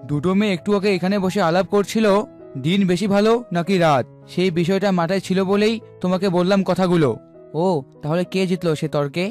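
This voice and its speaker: A man's voice: sits high, fast talker, a little mellow, faint music behind, lightly acted. A man voicing a proud, bickering talking sun or moon in a cartoon fable. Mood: boastful bickering.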